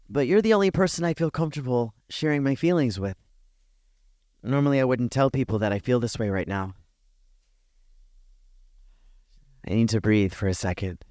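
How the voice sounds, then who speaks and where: A man playing a tender, nervous best friend confessing a crush, speaking softly, hesitantly and earnestly in an intimate ASMR roleplay. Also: mid-range voice, no echo, studio quality, lightly acted. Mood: relieved, overwhelmed. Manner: soft-spoken, hesitant, earnest.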